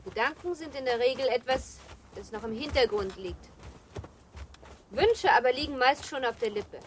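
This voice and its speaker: Young noblewoman, radio play: high voice, genteel, coquettish, teasing. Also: lively intonation, normal speed, a little hiss or hum. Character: playful, teasing young married noblewoman, about seventeen. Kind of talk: German literary radio drama acting.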